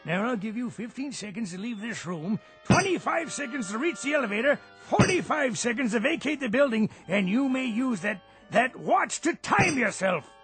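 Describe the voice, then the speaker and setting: Pompous high-pitched boss, sharp-voiced. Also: male voice, big pitch swings, moderate pace, hardly any pauses, faint music behind, heavily acted. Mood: stern. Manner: clipped, formal, stern. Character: pompous, irritated male company boss. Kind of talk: old-time radio drama acting.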